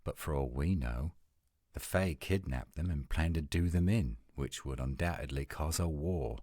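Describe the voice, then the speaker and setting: A man playing an adult male werewolf lover in a romantic roleplay audio, speaking in an intimate, measured, coaxing and reassuring way. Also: rumbling low, darkish tone, breathy edge, no echo, clean studio sound. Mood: earnest. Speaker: voice actor.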